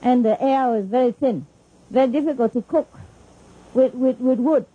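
A middle-aged woman with a Vietnamese accent speaks to her disciples in a loose, chatty way, often breaking into laughter. Her voice sits a little high yet sounds husky and warm. The recording is a muffled old tape. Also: normal speed. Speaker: spiritual teacher. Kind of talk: informal talk to disciples.

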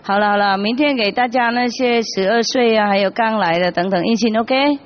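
A woman spiritual teacher chatting gently with children, laughing often and switching between languages. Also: fairly high pitch, brisk, muffled recording. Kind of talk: casual chat with children at a gathering.

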